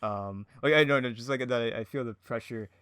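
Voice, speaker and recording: A man with a smooth, clear, mid-pitched voice talks casually as a podcast guest in a dry, close recording. His phrasing is hesitant and self-deprecating. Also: brisk, fairly bright tone, no echo. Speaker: podcast guest, audio drama creator. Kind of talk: casual chat.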